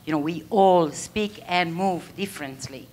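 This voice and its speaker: A woman's voice: lowish voice, unhurried, a little mellow. A middle-aged woman from Italy with an Italian accent, asking a panel a question from the audience and searching for her words.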